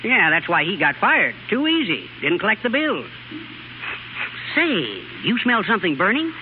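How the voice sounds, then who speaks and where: A man's voice: sits high, huge pitch swings, normal speed. A man acting a cheeky, nosy laundry delivery man in a 1930s radio comedy-drama. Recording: tinny, noisy 1930s radio recording.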